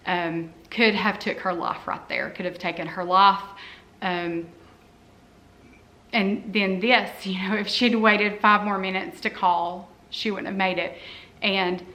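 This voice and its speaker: A woman in her forties speaks to her camera in a loose, heartfelt ramble, often pausing on an "um." Her voice sits at an ordinary middle pitch but has a bright, forceful edge. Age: 40s. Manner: earnest and heartfelt, rambling with frequent "um"s. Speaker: cleaning vlogger. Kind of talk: casual talk to camera.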